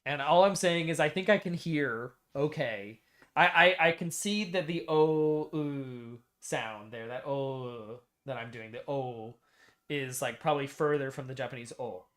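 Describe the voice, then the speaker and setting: A young man with an American accent, talking casually on a livestream. His voice sits at an ordinary middle pitch, and he talks loosely, often repeating himself as he argues a small point. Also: unhurried. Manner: chatty, repeats himself, argues pronunciation good-naturedly. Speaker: streamer and ROM hacker.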